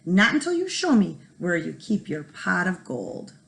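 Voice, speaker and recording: Storybook role: idle, moaning husband voiced by a female reader, full of self-pity, very animated. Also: fairly low pitch, unhurried, creaky, heavily acted. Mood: complaining, insistent. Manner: whining, self-pitying. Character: lazy, whiny Irish farmer husband.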